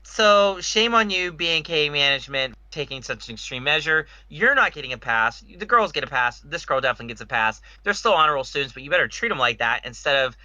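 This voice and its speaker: A man's voice: a little high, expressive, quick pace, hardly any pauses, sharp tone, dry recording, poor audio. A man hosting a casual opinion podcast, speaking emphatically with rhetorical questions and repetition. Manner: emphatic, rhetorical, repeats himself. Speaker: podcast host. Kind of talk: opinionated casual panel discussion.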